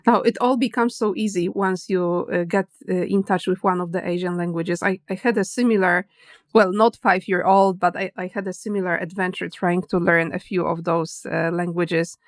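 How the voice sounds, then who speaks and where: A woman's voice: lowish voice, fairly even intonation, moderate pace, no echo, clean studio sound. A woman hosting a podcast interview, asking questions and sharing her own stories, laughing along with her guest. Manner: warm, laughs along, many fillers. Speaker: podcast host. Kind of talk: conversational interview.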